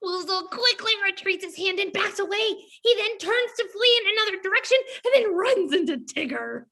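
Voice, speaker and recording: A woman's voice: very high-pitched, animated, normal speed, vocal fry, bright, forceful tone. A woman reading stage directions as scripted narration for a play.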